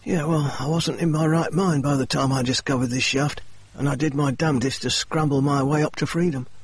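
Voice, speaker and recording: A man doing a high, desperate injured man. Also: steady tone, brisk, husky, dry recording. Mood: weary. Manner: pleading, raised voice. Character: injured, desperate, rough-faced grown man.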